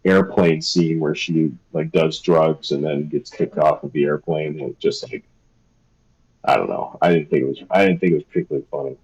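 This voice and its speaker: A man's voice: rumbling low, steady tone, normal speed, darkish tone, husky, lo-fi sound. A young man chatting casually on a live stream, rambling and hesitant with lots of fillers. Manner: rambling, hesitant, self-deprecating. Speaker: streamer and artist.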